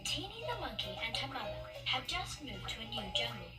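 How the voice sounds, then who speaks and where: A woman narrating children's stories as a read-along, expressive, emphatic and playful. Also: on the high side, brisk. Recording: distant-sounding, loud music behind. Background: music.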